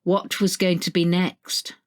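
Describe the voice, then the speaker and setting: Female narrator, slightly low voice, reading scripted short fiction. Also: level tone, slow pace. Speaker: story narrator.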